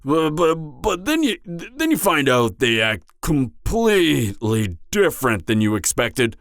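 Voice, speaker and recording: A man's voice: fairly high pitch, big pitch swings, unhurried, fairly bright tone, dry recording, clean studio sound, heavily acted. A man playing an anxious, awkward, slightly drunk part-giant mercenary in an intimate fantasy audio roleplay, hesitant and stammering. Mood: troubled, conflicted. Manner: hesitant, stammering, trailing off, sighing.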